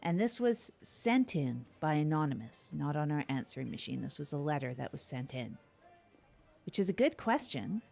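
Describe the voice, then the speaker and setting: A woman's voice: deep voice, very animated, moderate pace, vocal fry, a little mellow. A woman co-hosting a comedy podcast, improvising in an earnest, rambling way. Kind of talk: improvised comic banter.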